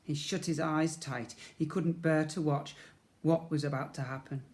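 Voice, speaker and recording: A man's voice: a little high, fairly even intonation, moderate pace, darkish tone. A man narrating a children's storybook in a playful, dramatic way, acting out sound effects and leaning on repeated words. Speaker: primary school teacher reading to children.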